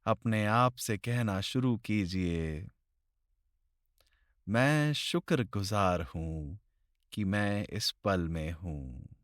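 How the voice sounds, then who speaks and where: A man leading a guided meditation in slow, deliberate phrases with long pauses, recorded close to the mic. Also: fairly low pitch, expressive, no room sound.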